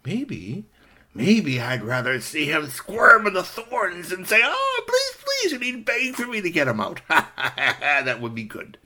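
A man voicing a sly, hungry fox villain in a folktale, musing and gloating with drawn-out hums. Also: high voice, wildly swooping pitch, a crowd in the background, voice pushed up, full character voice. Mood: scheming. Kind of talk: animated oral folktale storytelling.

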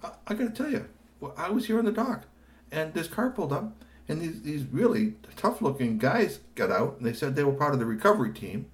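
A man doing a high, whiny flustered worker. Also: very animated, fairly quick. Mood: upset. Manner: whiny, stammering. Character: flustered put-upon male dock worker.

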